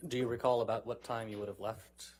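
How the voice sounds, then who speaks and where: A man's voice: medium pitch, little pitch movement, fast. A man questioning a witness as a lawyer in a courtroom. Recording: noisy courtroom broadcast feed.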